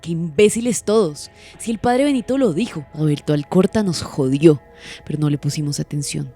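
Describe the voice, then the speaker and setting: A woman's voice: sits low, normal speed, mellow, breathy edge. An actress playing a furious, imperious woman in an audio drama, accusing with vehement sarcasm. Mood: furious, betrayed. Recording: music underneath. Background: music.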